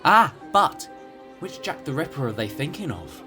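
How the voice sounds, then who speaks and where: Casting: male actor as eager, opinionated student in audio drama, highish voice swooping widely, music underneath. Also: moderate pace, some chatter behind. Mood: eager, playful. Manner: animated, eager explaining. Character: chatty, opinionated male college student, history enthusiast. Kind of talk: amateur audio drama dialogue.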